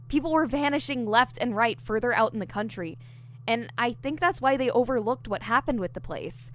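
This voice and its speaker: A woman's voice: fairly high pitch, lightly acted. A woman playing an eager, diligent newly hired investigator in an audio drama, explaining things earnestly. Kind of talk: audio drama dialogue, explaining case theories.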